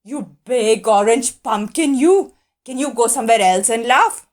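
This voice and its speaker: A grumpy male hippo, as a woman puts him on, hurling insults at full volume. His high, animated scolding rises and falls. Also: unhurried. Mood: irritated. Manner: loud scolding, name-calling. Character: grumpy rude male hippo, hot and irritated.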